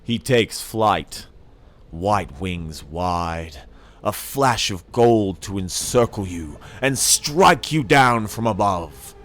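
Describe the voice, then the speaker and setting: A man reading dark science fantasy as dramatic narration, with theatrical, menacing emphasis and deliberate pauses. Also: lowish voice, expressive. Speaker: narrator reading an audiobook excerpt. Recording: music under the voice. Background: dramatic background music.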